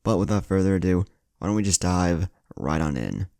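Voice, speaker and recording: A man's voice: sits low, expressive, a little fast, vocal fry, warm tone, no room sound. A man narrating a scripted dramatic story as the host of a story channel. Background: faint music.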